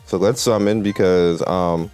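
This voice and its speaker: Low-pitched man commenting over game music. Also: fairly even intonation, measured pace, darkish tone, smooth. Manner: rambling, conversational. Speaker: gaming video host. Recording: game music playing behind the voice. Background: video game music.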